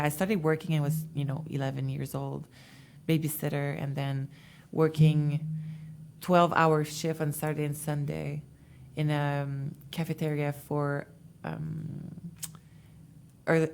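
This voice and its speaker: Low-voiced woman in her 20s, chatty guest, lots of ums. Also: little pitch movement, moderate pace, creaky, darkish tone, slight background noise. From Montreal, Canada. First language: French. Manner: chatty storytelling with many fillers. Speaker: interview guest, bikini competitor. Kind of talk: casual interview talk.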